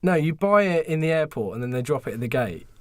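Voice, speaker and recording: British guy on a podcast, kinda high voice, dry close sound, argues his point hard. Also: lively intonation, fast talker, dry recording. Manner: argumentative, insistent. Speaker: podcast co-host. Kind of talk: casual banter.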